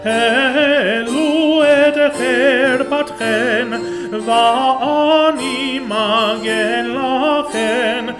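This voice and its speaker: A woman sings slowly in a classical Renaissance style. The sound is very echoey, and instrumental music accompanies her high voice. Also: steady tone. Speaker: singer.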